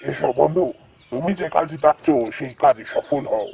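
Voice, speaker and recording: A man's voice: a little high, normal speed, creaky, warm tone, breathy edge, full character voice. A man voicing a suffering but kindly talking banana tree in a fairy-tale cartoon. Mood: grateful, helpful.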